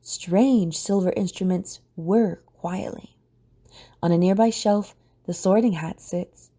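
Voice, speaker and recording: A woman reading scripted story narration for an audio drama in a mellow, husky voice. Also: on the low side, slow pace.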